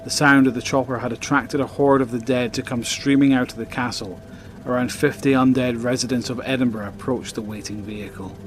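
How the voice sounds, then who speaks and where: A man's voice: mid-range voice, steady tone, a little fast. A man reading scripted narration for an audio drama as its narrator.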